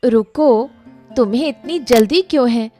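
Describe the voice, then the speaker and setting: A woman voicing a practical village wife and homemaker in an animated moral story. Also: fairly high pitch, unhurried, faint music behind, lightly acted. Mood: impatient.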